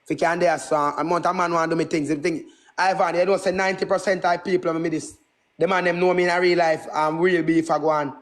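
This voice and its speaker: A man's voice: fairly high pitch, level tone, quick pace. A Jamaican man in his twenties who speaks Patois in a brash, boastful, repetitive way, ranting casually on a livestream. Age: 20s. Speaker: livestream host.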